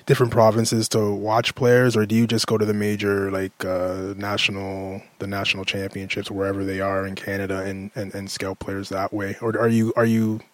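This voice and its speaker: A man's voice: on the low side, flat delivery, moderate pace, few pauses, no room sound. A man co-hosting a casual radio interview, asking long, searching questions that pile up one alternative after another.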